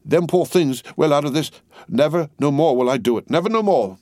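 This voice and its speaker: A trembling, solemn old servant, as a male narrator puts him on, his voice pitched well above the reader's own and lifted a little louder. He speaks slowly and preaches from a pulpit in rough working-class grammar. The storybook reading is dry, with no room sound. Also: animated, no room sound, natural voice. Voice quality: ungrammatical working-class speech. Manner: slow, pulpit-like holding forth.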